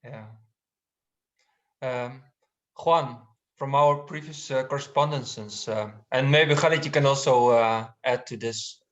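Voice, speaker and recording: A man's voice: mid-range voice, slow. Male moderator, hesitant questions, fillers, slightly dull muffled audio. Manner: tentative, many fillers. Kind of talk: moderating a panel discussion.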